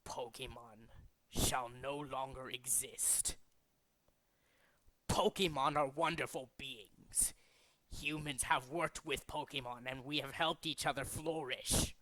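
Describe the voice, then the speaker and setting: Male actor as pompous game villain, zealous doomsday leader; forceful, sharp tone, close dry sound. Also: medium pitch, dry recording, low-quality recording. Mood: grandiose, grim. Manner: deliberate, dramatic, pompous declaiming. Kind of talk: theatrical video game character demo reel.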